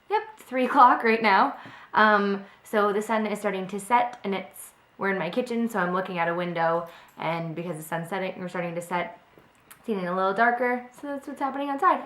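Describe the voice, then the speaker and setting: A woman's voice: medium pitch, fairly bright tone. Young woman kinda sick doing a chatty makeup talk-thru, lotsa ums, echoey kitchen on a webcam. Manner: chatty, with frequent ums and apologies. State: slightly sick, voice a bit off. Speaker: beauty vlogger. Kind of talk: casual makeup tutorial talk-through. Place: home kitchen by a window, indoors. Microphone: webcam at close range. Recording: echoey room sound.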